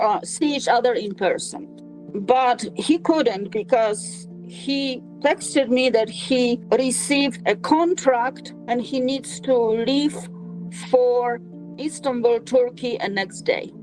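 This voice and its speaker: A woman in her sixties speaks with a Polish accent in a slightly high voice. She tells her personal story in an interview, hesitating often and filling gaps with "uh" and "you know." Soft music plays faintly underneath. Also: rough recording. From Poland. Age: 60s. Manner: halting, with frequent fillers.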